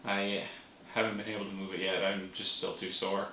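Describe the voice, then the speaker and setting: Bright-voiced vlogger in a roomy, distant recording. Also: low-pitched, little pitch movement, moderate pace. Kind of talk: casual vlog storytelling. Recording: roomy, distant mic with faint noise.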